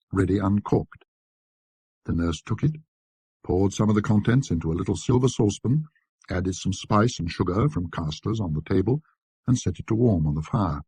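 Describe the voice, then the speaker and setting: A man reading a classic ghost story as a scripted narration, in a precise, scholarly, measured manner. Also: fairly low pitch, warm tone, slightly rough, no echo.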